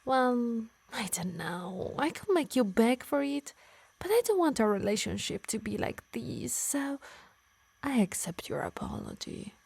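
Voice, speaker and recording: A woman voicing a possessive, doting yet menacing harpy in an intimate ASMR roleplay, teasing and coaxing softly, often in whispers. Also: a little high, big pitch swings, a little slow, exaggerated voice. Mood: teasing, forgiving. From Italy. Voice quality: breathy, soft. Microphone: close, dry microphone.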